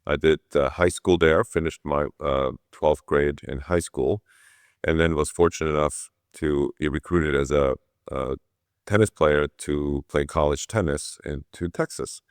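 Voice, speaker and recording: A man's voice: very deep voice, steady tone, unhurried, no room sound, studio quality. A middle-aged man from Switzerland telling his own story conversationally as an interview guest. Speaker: interview guest, orthopedic surgeon.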